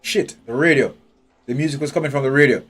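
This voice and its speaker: A man's voice: medium pitch, fast talker, fairly bright tone, breathy edge. Blunt, profane male game streamer. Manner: blunt, profane, thinking aloud; nitpicking, questioning everything. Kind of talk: live gameplay commentary. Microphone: headphones with a desk mic. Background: faint game music.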